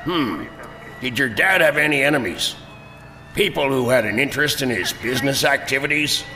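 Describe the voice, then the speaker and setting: An actor playing a calm, authoritative police investigator in an audio drama, measured and businesslike. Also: male voice, medium pitch, a little hiss or hum. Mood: calm, probing. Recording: long hall reverb, faint music.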